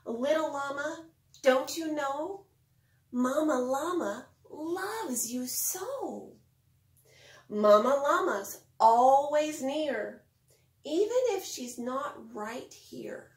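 A middle-aged woman giving a lesson and storybook read-aloud for young children, speaking in simple, expressive phrases. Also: high-pitched, extremely slow. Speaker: preschool Bible teacher. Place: home kitchen, indoors. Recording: roomy kitchen echo.

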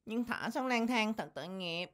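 A woman voicing a soft-hearted, wounded pencil brother in a children's cartoon. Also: mid-range voice, animated, normal speed, close to an everyday voice. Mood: hurt.

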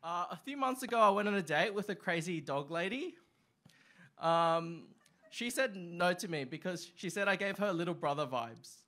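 A young man performing open mic stand-up, with a halting delivery full of ums and uhs, on a small club stage. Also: high-pitched, expressive, bright, forceful tone. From Australia. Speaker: stand-up comic. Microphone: stand mic, far camera.

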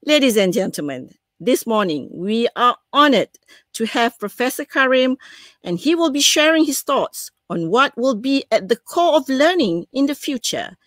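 Malaysian lady reading a formal intro on a video call, voice a bit high, pretty dry sound. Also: a bit bright, no echo. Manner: measured, formal. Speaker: session moderator introducing a keynote speaker. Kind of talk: formal scripted introduction, read aloud.

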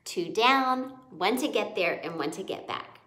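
A woman's voice: mid-range voice, lively intonation, a little slow, a bit bright. A woman voicing a reassuring, sensible young girl mouse, a big sister in a storybook. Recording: echoey room.

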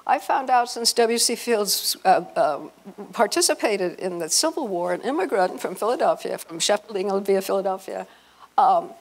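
Older woman with a creaky voice, presenting. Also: mid-range voice, animated, poor audio. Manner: halting, repeats and restarts phrases. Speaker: presenter, speaker at a convention. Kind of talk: informal slide-show talk of family history. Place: hotel conference room, before a seated audience.

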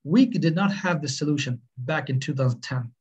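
A middle-aged man with a Swedish accent, presenting on a webinar in earnest, halting speech. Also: a little high, a little mellow, husky. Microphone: webcam on video call.

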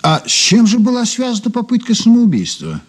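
Investigator, radio play, husky, mellow, courteous, wily, patient questioning, lively intonation. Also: male voice, extremely high pitch, brisk, heavily acted. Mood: probing. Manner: polite, questioning, hesitant probing. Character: polite, probing male investigator, patient and wily. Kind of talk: Soviet radio play acting, 1980s.

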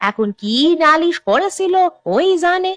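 A woman's voice: very high voice, animated, unhurried, fairly bright tone, no room sound, exaggerated voice. A woman voicing a respectful, concerned villager in a cartoon, speaking earnestly. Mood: gossiping. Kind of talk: Bengali cartoon dialogue.

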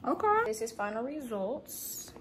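A woman's voice: on the high side, big pitch swings, measured pace, a bit bright, slight background noise. A young woman chatting casually to viewers while she cooks in her home kitchen, filmed on a phone set on the counter. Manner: chatty, talks to viewers as y'all, chants while working. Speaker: vlogger. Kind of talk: casual cooking vlog chat.

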